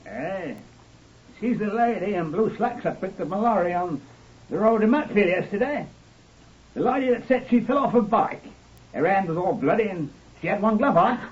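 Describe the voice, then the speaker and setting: A man's voice: sits high, lively intonation, normal speed. A man playing a plain-spoken, respectful lorry driver giving witness in an old-time radio crime drama. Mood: earnest, deferential. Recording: dull old radio recording, distant mic.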